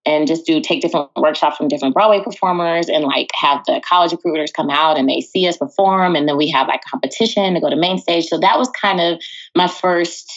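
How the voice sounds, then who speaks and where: A woman's voice: low-pitched, fairly quick, muffled recording. An American woman from Texas chatting casually as an interview guest, telling stories in a chatty way and acting out quotes. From Texas, United States. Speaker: interview guest, musical theater performer. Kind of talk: casual conversational storytelling.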